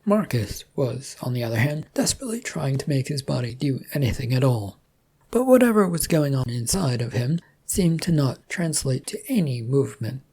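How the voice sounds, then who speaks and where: Mellow, husky storytelling narrator. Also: medium pitch, very animated, normal speed.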